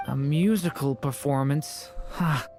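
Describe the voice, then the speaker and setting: Game casting: polite young adventurer, low register, slow hesitant delivery, light music. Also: female voice, dark tone. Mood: hesitant, earnest. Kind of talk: video game voice acting.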